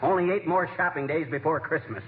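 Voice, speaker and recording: A man's voice: on the high side, brisk, lightly acted. A man acting a bumbling, exasperated husband given to wisecracks in 1940s radio comedy style, folksy and slangy. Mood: fretful searching. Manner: folksy, slangy, blustering, exaggerated self-deprecation. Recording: scratchy, tinny old-time radio recording, heavy noise.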